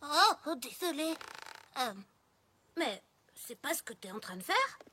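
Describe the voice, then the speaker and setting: A woman's voice: high voice, big pitch swings, slow pace, heavily acted. A woman voicing a young, curious male baby dragon in a French cartoon dub, boyish and easily startled. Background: loud music score.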